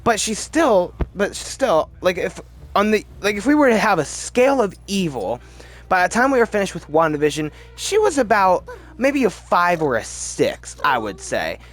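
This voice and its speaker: A man's voice: high voice, big pitch swings, moderate pace, a bit bright. A man hosting a casual, opinionated commentary video, talking in a rambling, emphatic way and often interrupting himself. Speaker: video host.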